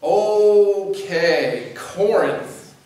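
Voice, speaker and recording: A man in his forties, a pastor preaching a sermon from the pulpit in a conversational, animated way, with lively gestures. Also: high voice, extremely slow. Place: church sanctuary at a pulpit. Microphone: distant camera mic. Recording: very echoey church from a distance.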